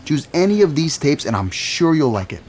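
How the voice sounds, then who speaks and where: A man's voice: fairly high pitch, sing-song, a little fast, darkish tone. A man playing a young record store clerk who loves goth music, with a playful, teasing way of talking. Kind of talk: audio drama acting.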